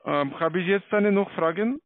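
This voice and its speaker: Foreign-accented man, high voice, cold call. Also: moderate pace, a little hiss or hum. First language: not German. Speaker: call-centre sales agent. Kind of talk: scripted phone sales pitch. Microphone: telephone. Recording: lo-fi muffled phone call.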